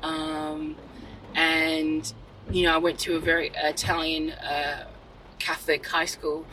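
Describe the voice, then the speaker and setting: A young woman, an actor and writer, talking candidly in a casual interview and telling animated stories with many fillers and restarts. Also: sits low, slow pace, a little hiss or hum, low-quality recording. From Australia.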